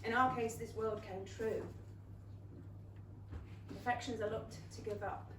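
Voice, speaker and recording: An actress playing a spirited, principled young Regency gentlewoman, speaking earnestly and articulately in a staged theatre reading. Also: on the high side, fairly even intonation, unhurried, close to an everyday voice. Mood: wistful, tender. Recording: roomy theatre, distant mic, faint noise.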